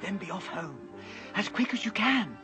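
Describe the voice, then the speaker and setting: A timid, kindly faun from a fantasy cartoon, acted out by a performer. The voice is mid-to-high and swings widely with guilt and worry, often dropping toward a hush, with music underneath. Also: moderate pace, natural voice. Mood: tender farewell. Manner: hushed, anxious, expressive, trailing into whispers. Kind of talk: animated fantasy film acting.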